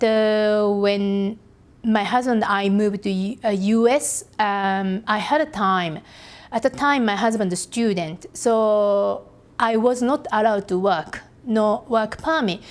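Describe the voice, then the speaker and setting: A middle-aged Japanese woman with a Japanese accent, speaking English as a second language as an artist guest in a podcast interview. She talks haltingly and pauses often to search for words. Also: medium pitch.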